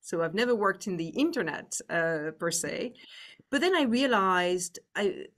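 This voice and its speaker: Woman, 50s, French accent; podcast guest, reflective, pausing now and then. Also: mid-range voice, animated, fairly bright tone. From France. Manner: thoughtful, reflective, frequent "um" pauses. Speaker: guest executive. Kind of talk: interview conversation.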